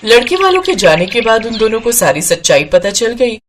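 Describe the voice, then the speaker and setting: A woman's voice: fairly high pitch, big pitch swings, moderate pace, slight background noise. A woman narrator reading a scripted story in a storytelling style.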